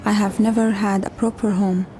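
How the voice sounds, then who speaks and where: A woman in her forties from Lebanon, narrating a documentary in a measured, plain-spoken way. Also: medium pitch, little pitch movement, dark tone, slight background noise, low-quality recording.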